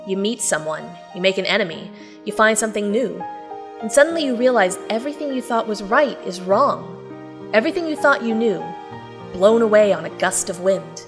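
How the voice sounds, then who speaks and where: An actress playing a spirited, cheeky and stubborn teenage farm girl in an audio drama, expressive and conversational. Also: medium pitch, close to an everyday voice. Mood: wistful, reflective. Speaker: narrator and lead character. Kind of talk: audio drama acting with first-person narration.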